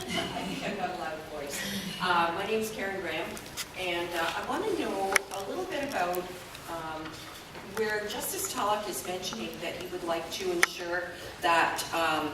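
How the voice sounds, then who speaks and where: A woman's voice: lowish voice, far from the mic. A woman in the audience asks a question hesitantly, searching for her words. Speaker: audience member asking a question. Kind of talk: question from the floor. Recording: echoey hall audio from afar with loud noise.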